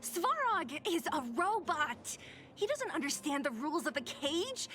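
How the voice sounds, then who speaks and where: A woman's voice: sits high, sing-song, a little slow, bright, forceful tone, faint music behind, exaggerated voice. An actress voicing a blunt, sarcastic young female fighter in video game dialogue. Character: tough, exasperated young female underworld fighter.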